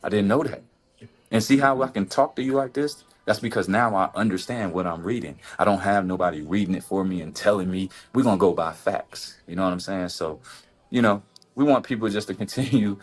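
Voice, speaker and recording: A man co-hosting a podcast, talking in an impassioned, casual way and firing off rhetorical questions. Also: brisk. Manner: impassioned, rhetorical questions, swings to high exclamations. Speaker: podcast co-host. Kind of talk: casual opinion talk.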